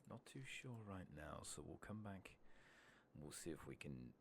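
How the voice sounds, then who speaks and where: Male, soft-spoken ASMR gameplay host: extremely low, gravelly voice edging into whispers, musing quietly, a little worn from a cold. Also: big pitch swings, normal speed, slight background noise. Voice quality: soft, breathy, often dropping to a whisper. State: recovering from a cough and cold.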